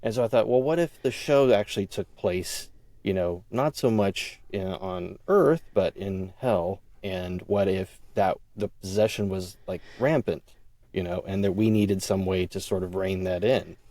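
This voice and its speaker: A man being interviewed as a podcast guest, telling his own story casually and haltingly, thinking aloud and quoting his own thoughts. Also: sits low, dark tone, dry recording. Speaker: audio drama creator and writer.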